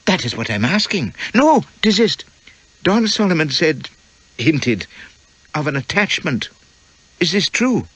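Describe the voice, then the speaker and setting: Man playing a young Victorian wife, sweet, scatterbrained, breathy, pleading, high voice, very lively pitch. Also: measured pace, long pauses, no room sound, exaggerated voice. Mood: suspicious. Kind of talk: audiobook character voice.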